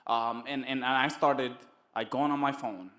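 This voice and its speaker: A man giving a casual testimonial as a guest, halting and often repeating his words. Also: medium pitch, fairly even intonation, sharp tone. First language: Arabic. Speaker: summit guest, network marketer.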